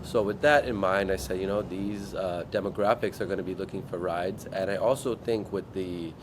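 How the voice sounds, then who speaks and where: A man from Guam, a startup founder, telling his story in an interview in a relaxed way and acting out his past thoughts. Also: low-pitched, moderate pace, background noise. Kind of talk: interview answers.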